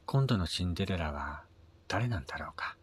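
A man with a husky voice narrating a scripted first-person radio drama, his phrase endings trailing into a breathy near-whisper. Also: deep voice, animated, brisk. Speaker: reader of own short story.

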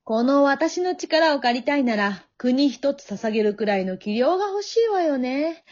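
A woman playing a proud, worldly female pheasant with coquettish, gently condescending feminine speech, in an amateur comedy radio drama. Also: high voice, lively intonation, normal speed. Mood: haughty, pouting. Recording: dull lo-fi audio.

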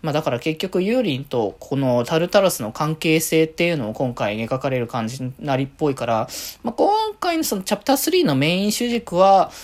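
A man hosting a casual solo fan podcast, talking in a halting way as he thinks aloud with drawn-out hesitations. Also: fairly high pitch. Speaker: podcast host.

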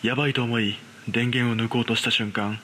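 A man playing an ordinary, uneasy young man who recounts his frightening experience in a dramatised, measured, suspenseful horror narration. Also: medium pitch, little pitch movement, fairly bright tone, slight background noise, close to an everyday voice. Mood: panicked, tense. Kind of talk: dramatised ghost story narration.

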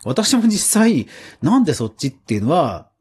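A man's voice: on the high side, big pitch swings, moderate pace, darkish tone, breathy edge. A man hosting a podcast, giving casual, explanatory solo commentary on news in a conversational way. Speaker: podcast host.

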